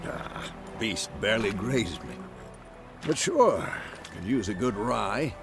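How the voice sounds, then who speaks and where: An actor playing an older monster hunter and mentor in a video game, wry and unhurried. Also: male voice, lowish voice, big pitch swings, heavily acted. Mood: wry, calm. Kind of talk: video game cinematic acting. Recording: clear music behind the voice.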